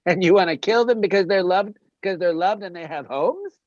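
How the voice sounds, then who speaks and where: A performer playing an anxious, excitable animal in an animated comedy table read, swinging from meek to frantic. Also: medium pitch, normal speed, natural voice. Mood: baffled. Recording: roomy, slightly dull sound.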